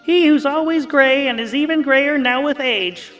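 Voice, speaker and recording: Man putting on a high-pitched old crone. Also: moderate pace, a bit bright, faint music behind. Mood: teasing. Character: cackling old female crone, teasing weird-sister prophet. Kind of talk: comedic fantasy audio drama.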